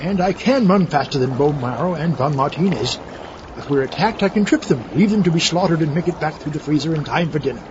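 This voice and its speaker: Man acting a highish, sarcastic mad inventor. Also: animated, brisk, dull audio, background noise, close to an everyday voice. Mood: sarcastic, scheming. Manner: matter-of-fact, sarcastic. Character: callous, conniving male mad inventor. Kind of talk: scripted comic audio skit.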